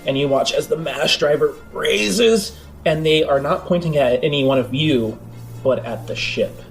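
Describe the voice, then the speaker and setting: A man's voice: on the high side, measured pace, creaky. Man narrating a tabletop game over music. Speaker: game master. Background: background music.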